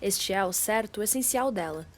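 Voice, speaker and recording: A woman's voice: mid-range voice, lively intonation, fairly quick. A teenage girl with a Brazilian accent reading scripted narration for a literary radio drama. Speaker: student narrator. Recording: amateur recording with background noise.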